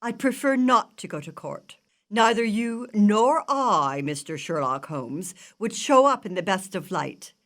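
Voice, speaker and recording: A woman's voice: mid-range voice, expressive, unhurried, fairly bright tone. A woman acting in audio theatre as a proper, genteel lady, polite and theatrical in manner. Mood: cool, dismissive. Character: Lady Anne, genteel, proper upper-class lady.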